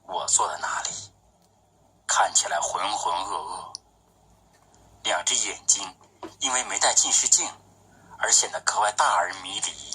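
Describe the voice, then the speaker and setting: A man reading his own poetry as a literary recitation, with measured, deliberate phrasing. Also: low-pitched, sharp tone, slight background noise, poor audio.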